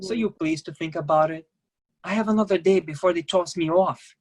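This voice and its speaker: An actress as an earnest ship worker. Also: female voice, on the low side, darkish tone, lightly acted. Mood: pleading. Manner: halting, simple non-native phrasing. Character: idealistic young Brazilian cruise-ship worker, earnest and secretive. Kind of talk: dramatic staged play reading.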